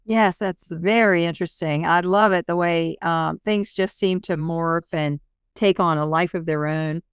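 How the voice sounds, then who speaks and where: A woman's voice: lowish voice, fairly even intonation, unhurried, clean tone, no echo, studio quality. A woman hosting an interview in a conversational style. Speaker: interview host.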